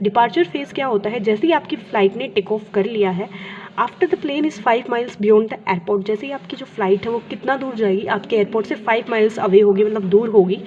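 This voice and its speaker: A woman's voice: a little high, darkish tone. A woman teaching a school lesson, explaining step by step and asking questions that she answers herself. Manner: teacherly, asks and answers own questions, mixes in English terms. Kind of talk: explanatory lesson, reading and paraphrasing textbook. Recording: dull lo-fi with clear background noise.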